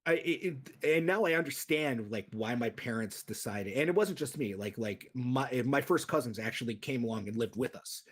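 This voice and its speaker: Male podcast guest, 30s, husky mid-range voice, loose and rambling delivery. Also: mid-range voice, animated, normal speed, few pauses. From United States. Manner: rambling, lots of "like" and restarts. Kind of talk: casual interview chat.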